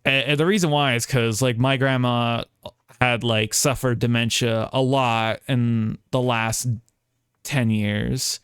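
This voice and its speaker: A man chatting casually as a game streamer, informal and rambling, often halting mid-thought. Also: mid-range voice, fairly even intonation, fairly bright tone, clean tone, no room sound, studio quality. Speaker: video game livestreamer. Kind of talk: casual livestream chat.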